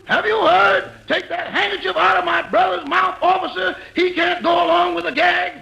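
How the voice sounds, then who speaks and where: An actor playing a cheeky manservant with a gravelly, raspy voice in a 1940s radio comedy. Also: male voice, extremely high pitch, steady tone, normal speed, bright, forceful tone, highs cut off, exaggerated voice.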